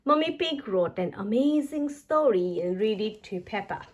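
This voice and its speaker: A woman reading a children's storybook aloud in an expressive way, as a narrator for young children. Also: mid-range voice, moderate pace.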